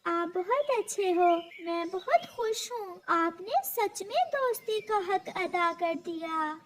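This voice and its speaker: Young cartoon bird lad, earnest, plaintive, tiny high voice female performer. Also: lively intonation, slow pace, full character voice. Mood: grateful. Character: young male bird, earnest and anxious friend.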